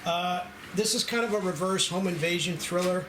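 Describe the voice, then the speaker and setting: A man's voice: high voice, normal speed. A man leading a table read, informally organizing the group and explaining things. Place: indoor room with a group. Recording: noisy, echoey room.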